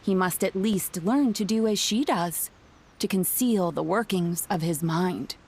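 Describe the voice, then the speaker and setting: An actress playing a composed, courteous and shrewd shrine attendant in a video game dub, speaking deliberately. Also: female voice, on the low side, a little mellow, husky. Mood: composed, advising. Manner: polite, deliberate, advisory.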